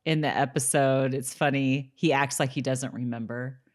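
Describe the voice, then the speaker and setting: A woman's voice: very deep voice, fairly even intonation, normal speed. A woman co-hosting a podcast in casual, informal, bantering chat. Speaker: podcast co-host.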